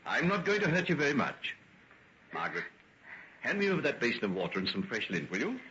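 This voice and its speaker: An actor playing a genteel, courteous doctor with a soothing, guarded manner in a vintage radio drama. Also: male voice, mid-range voice, wildly swooping pitch. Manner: polite, measured, gently reassuring. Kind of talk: golden age radio drama acting. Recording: dull, noisy vintage radio recording.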